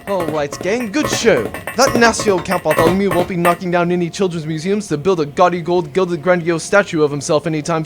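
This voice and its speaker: A man acts as a wisecracking space crewmate in a comic audio drama. He is smug and playful, with animated delivery over a music bed. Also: on the high side, natural voice. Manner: swaggering, playful. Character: smug, wisecracking male space adventurer fond of wordplay. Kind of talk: comedic audio drama acting.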